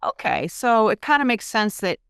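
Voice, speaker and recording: A woman hosts a podcast interview and asks her questions eagerly. Her voice sits fairly high, swings widely in pitch and sounds smooth and clear. The sound is close and dry. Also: lively intonation, moderate pace, fairly bright tone, no room sound. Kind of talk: casual interview.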